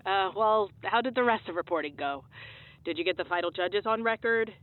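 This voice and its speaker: A woman's voice: medium pitch, fairly quick, lightly acted. A woman playing a dryly polite, supportive colleague in a comedy audio drama, a little hesitant, heard through a thin phone-like band.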